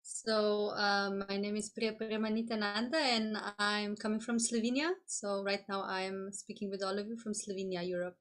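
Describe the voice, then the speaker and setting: Flat-toned woman guest on a video call. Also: medium pitch, normal speed, hardly any pauses. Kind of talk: self-introduction on a video call.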